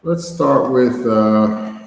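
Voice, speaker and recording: A man acting as an English speaking-test examiner, asking measured questions and giving brief acknowledgements. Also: medium pitch, creaky, darkish tone. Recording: echoey room with long reverb, faint noise.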